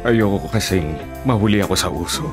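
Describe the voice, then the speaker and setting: A man's voice: deep voice, brisk, music in the background, lightly acted. An actor playing a vain, evasive elderly father in a Filipino radio drama, hesitant and sheepish. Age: older.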